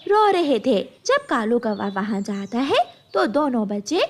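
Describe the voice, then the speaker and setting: A woman narrates a children's cartoon tale in a high voice. Her delivery is slow, with lively rises and falls in pitch. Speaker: storyteller.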